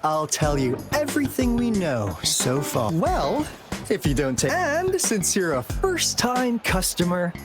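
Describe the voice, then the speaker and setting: A man's voice: high-pitched, huge pitch swings, unhurried, full character voice. An actor playing a sly, playful male information broker in a video game, with teasing, theatrical salesman patter. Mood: playful, salesmanlike. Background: music.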